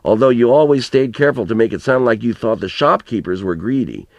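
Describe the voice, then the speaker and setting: A man's voice: mid-range voice, animated, brisk, darkish tone, no echo. A man reading a storybook as an elderly farmer grandfather, plain-spoken and folksy, telling rambling, earnest reminiscences with some mild swearing. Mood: reflective. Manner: colloquial rural storytelling, plain-spoken, mildly profane.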